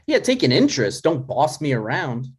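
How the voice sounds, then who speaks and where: A man's voice: mid-range voice, huge pitch swings, moderate pace, husky. A man playing a dry, exasperated, reluctant adult son in a comedy table read, hesitant and natural in delivery.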